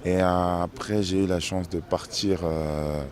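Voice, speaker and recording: Low-voiced Congolese athlete interviewed outdoors. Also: male voice, fairly even intonation, slow pace. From Brazzaville, Congo. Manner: reflective, earnest, some restarts. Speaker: professional basketball player. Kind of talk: interview answers.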